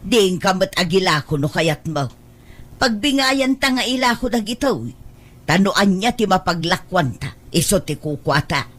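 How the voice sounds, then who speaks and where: A woman's voice: medium pitch, lively intonation, normal speed, lightly acted. A woman playing a fretful, thrifty mother of a grown daughter in an audio drama, scolding as she goes. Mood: bargaining. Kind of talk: Ilocano audio drama dialogue.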